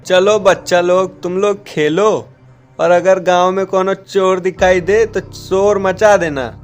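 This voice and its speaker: A man's voice: high voice, steady tone, takes it slowly, faint music behind. A man playing a rustic, avuncular village uncle with a rural eastern Hindi accent in a children's cartoon story. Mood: explaining. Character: friendly adult village uncle with rustic speech.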